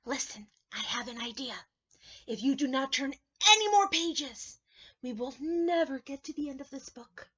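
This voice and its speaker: A woman voicing a fretful, furry blue male monster, pleading in a high, drawn-out voice, on a dull lo-fi recording. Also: lively intonation, a bit bright.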